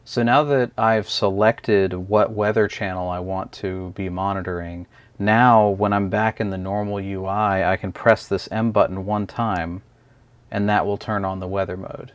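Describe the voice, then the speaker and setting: A man's voice: on the low side, fairly even intonation, a little slow, no room sound. A man giving a casual, unscripted hands-on product review, explaining step by step in a hesitant way.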